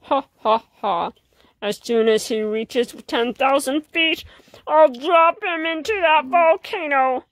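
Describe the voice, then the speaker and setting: A woman voicing a grumpy, lonely villain fish in a storybook, blustering and emotional, with halting speech. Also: high voice, dry recording, pitched below the performer's own voice. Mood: gloating.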